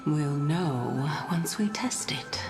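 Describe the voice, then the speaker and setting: A woman's voice: deep voice, lively intonation, slow, music in the background, full character voice. An actress playing a sly, haughty woman sage in an anime game, teasing and condescending in a theatrical, amused way. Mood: smug.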